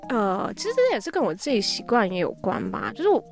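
A woman's voice: on the high side, very animated, fast, over music. A woman with a Taiwanese Mandarin accent talking casually as an interview guest, explaining things in a rambling, self-effacing way. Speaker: podcast guest, app founder.

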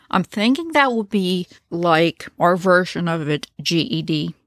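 A woman narrates a true crime story in a low, relaxed register. Her delivery is conversational and wry, full of offhand asides. The recording is dry and close, with no room sound. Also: dry recording. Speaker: true crime podcast host.

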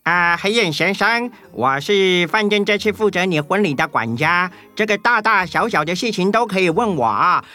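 Man acting effusive hospitable hotel butler, high-pitched, bright, children's audio story. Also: animated, moderate pace, few pauses. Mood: effusive welcome. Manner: effusive, welcoming.

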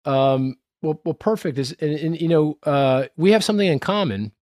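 A man's voice: a little high, normal speed, darkish tone, dry recording. Dry-sounding hesitant interview host man. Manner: hesitant, many restarts and fillers. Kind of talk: casual interview chat.

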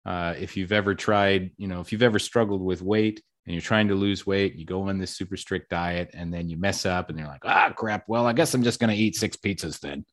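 A man's voice: deep voice, a little fast, hardly any pauses. A man hosting a casual podcast interview, talkative and upbeat, with self-deprecating jokes. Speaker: podcast host.

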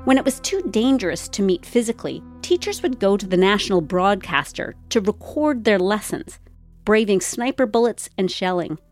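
A woman radio host giving calm, even, scripted documentary narration. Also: mid-range voice, normal speed, faint music behind.

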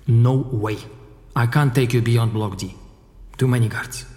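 A man's voice: medium pitch, fairly even intonation, measured pace, dark tone, lightly acted. A man acts out a secretive male prison guide in an audio drama. He has a mid-range voice and a wry, matter-of-fact, curt delivery, ringing in a long hall reverb. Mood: wry, guarded.

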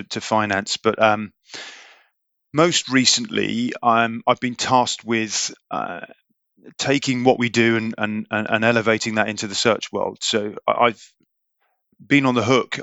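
Halting businessman, bright dry voice. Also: on the low side, no echo. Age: 40s. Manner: hesitant, many repeated words and ums. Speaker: guest, recruitment business leader. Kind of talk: podcast interview.

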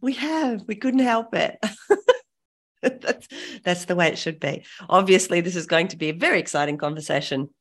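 The giggly, chatty woman with the lowish voice who hosts an upbeat interview podcast you'd play on a morning jog. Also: lively intonation, normal speed, a bit bright. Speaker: podcast host. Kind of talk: upbeat casual interview chat.

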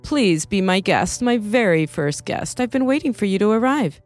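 A woman voicing a serene, gracious spiritual neighbor with a mild accent, speaking in a calm, gentle, unhurried way. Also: mid-range voice, soft background music, close to an everyday voice. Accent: mild unplaced foreign accent.

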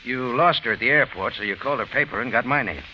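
An American actor playing a tough, sardonic private investigator in old radio drama style, with clipped hard-boiled delivery. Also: male voice, medium pitch, fast talker, lightly acted. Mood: probing. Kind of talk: 1940s-50s radio drama acting. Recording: muffled old radio recording, faint noise.

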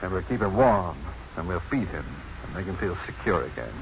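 A wry, tender doctor with a low voice and very varied intonation. He speaks in measured, articulate phrases in the manner of 1950s radio drama. The old broadcast is dull, with faint noise. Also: very animated. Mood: earnest, reassuring. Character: confident, wry, tender doctor and devoted new husband.

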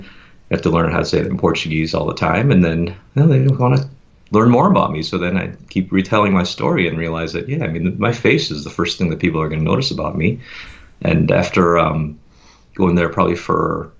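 A man with a very low voice speaks in a relaxed, meandering way, full of pauses and fillers. His voice has a gravelly edge. The sound is muffled and lo-fi. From United States. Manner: reflective, meandering with many fillers. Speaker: podcast guest. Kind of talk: casual conversational interview.

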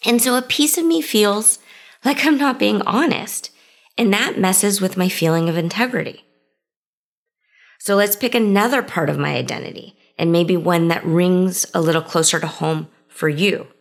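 A woman's voice: medium pitch, normal speed. A woman hosting her own podcast, talking through ideas in a conversational, reflective way and starting many sentences with "so". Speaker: podcast host. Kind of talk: solo podcast monologue.

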